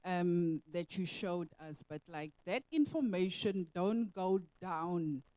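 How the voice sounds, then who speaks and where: A woman from the audience asking a hesitant, halting question. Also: on the low side, warm tone, dry recording.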